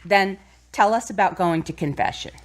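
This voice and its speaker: A woman playing a warm, gently teasing mother of a grown son in an audio drama. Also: mid-range voice, moderate pace, lightly acted. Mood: teasing, motherly.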